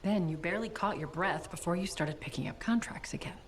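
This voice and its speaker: Woman as cool, low-voiced intelligence agent. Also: a little hiss or hum, natural voice. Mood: cool, probing. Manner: measured, cool, questioning. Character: composed, probing female intelligence agent. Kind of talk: video game cutscene acting.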